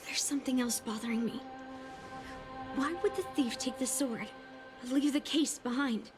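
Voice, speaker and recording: A woman's voice: high-pitched, steady tone, normal speed, lightly acted. A woman voicing an impulsive, determined young swordswoman in a video game, with an inquisitive manner. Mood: puzzled, suspicious. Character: impulsive young female swordswoman accused of theft. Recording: faint music behind.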